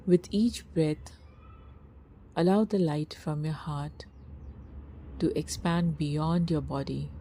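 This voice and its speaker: A woman narrating a scripted guided meditation in a soft, breathy, husky voice, with a slow, soothing, hushed delivery and long pauses. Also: deep voice, steady tone, slight background noise.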